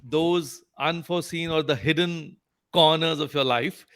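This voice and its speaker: A man's voice: fairly high pitch, measured pace, a bit bright, clean tone. A man, hosting a podcast and politely inviting his guest to share his journey. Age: 50s. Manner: polite, courteous interviewer. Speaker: podcast host and counselor.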